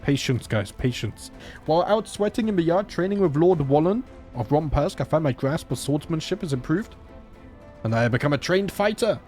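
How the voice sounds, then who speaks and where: Chatty gamer with a sore throat. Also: male voice, fairly high pitch, animated, normal speed, darkish tone, soft background music. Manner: chatty, joking, thinks aloud. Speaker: gaming channel host. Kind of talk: casual let's play commentary.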